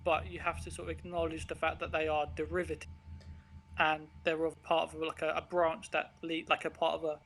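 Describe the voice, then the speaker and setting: A man's voice: fairly high pitch, monotone, fairly quick, gravelly, a bit bright, a little hiss or hum. A man in casual, reflective conversation as an interviewed author, rambling informally and searching for words. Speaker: interviewed author of an audio drama.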